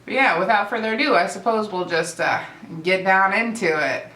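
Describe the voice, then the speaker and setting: A young woman talking casually to camera as a vlogger, chatty and relaxed with fillers and restarts. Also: mid-range voice, moderate pace. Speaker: vlogger and nursing aide. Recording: echoey room.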